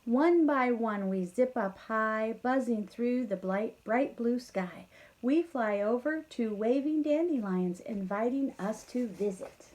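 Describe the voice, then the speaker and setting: A woman reading a children's story aloud in an expressive, encouraging way, talking directly to young listeners with casual asides. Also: fairly high pitch, takes it slowly, low-quality recording. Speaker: teacher reading a bedtime story to pupils.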